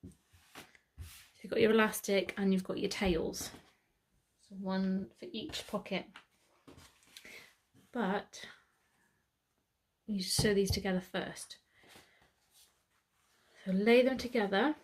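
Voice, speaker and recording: A woman's voice: mid-range voice, steady tone, takes it slowly, pauses often. A British woman giving a step-by-step sewing tutorial, chatting casually and correcting herself as she works. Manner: chatty, self-correcting, thinking aloud.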